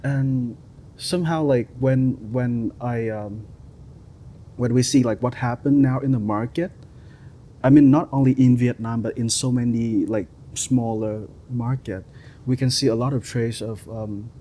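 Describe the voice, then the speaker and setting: A man talking as a filmmaker guest on an interview podcast, hesitant, filling pauses with "like" and "um" and loose grammar. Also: medium pitch, steady tone, mellow, slight background noise.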